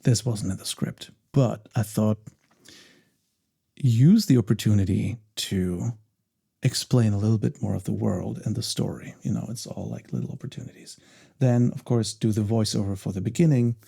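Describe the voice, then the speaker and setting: Low, gravelly, soft-spoken man. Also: moderate pace. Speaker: voice actor and ASMR creator. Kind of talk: soft-spoken behind-the-scenes commentary.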